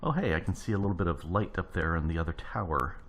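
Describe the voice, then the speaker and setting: Low gravelly man narrating gameplay. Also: quick pace, fairly bright tone, a little hiss or hum. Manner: rambling, thinking aloud while building. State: gravelly early-morning voice. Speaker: let's play host. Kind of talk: casual gameplay commentary.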